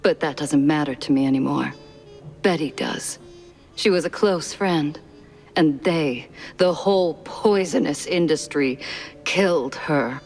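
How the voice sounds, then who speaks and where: An actress playing a sultry, theatrical former film star and femme fatale in a noir video game. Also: female voice, low-pitched, measured pace, darkish tone, soft background music. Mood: mournful, dramatic.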